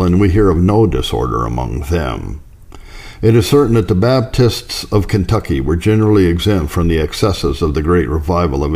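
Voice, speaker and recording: A man reading a historical religious account as a scripted narration, in a measured, formal manner. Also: low-pitched, mellow.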